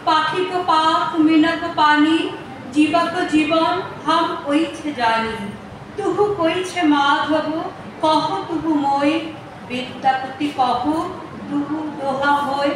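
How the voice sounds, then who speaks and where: A woman with a West Bengali accent reciting classical poetry deliberately, in short phrases. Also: sits high, fairly even intonation. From Kolkata area, West Bengal. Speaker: workshop student. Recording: echoey noisy hall, distant mic.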